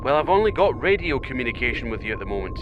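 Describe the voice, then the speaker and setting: A man's voice: medium pitch, big pitch swings, racing, lo-fi sound, over music, close to an everyday voice. An actor playing a male captain watching over a submarine dive with concern. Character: Scottish male captain guiding a sub dive. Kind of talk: audio drama acting.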